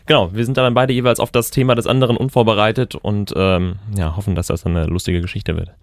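A man's voice: fairly low pitch, steady tone, fast, dry recording. A young man co-hosting a student radio podcast, chatting casually with dry, wry asides. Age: young adult. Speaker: podcast co-host.

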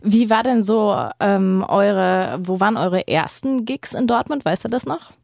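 A woman's voice: medium pitch, unhurried, vocal fry, a little mellow, no room sound, studio quality. A woman hosting a podcast interview, asking chatty questions. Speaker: podcast host. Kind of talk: casual interview.

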